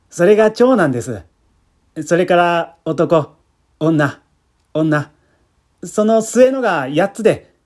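A man's voice: high-pitched, darkish tone. A man voicing a cheerful, polite policeman, a proud family man talking in a chatty, deferential, folksy way in a story reading. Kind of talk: literary reading with acted dialogue. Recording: music bed behind the voice.

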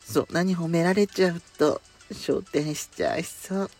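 A man's voice: a little high, fairly even intonation, unhurried, a little mellow, soft background music, pitched above the performer's own voice. A man playing a coy, clingy, pampered sweetheart with feminine speech in an intimate bedtime audio drama. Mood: coy, teasing. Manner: coy, teasing, feminine wording.

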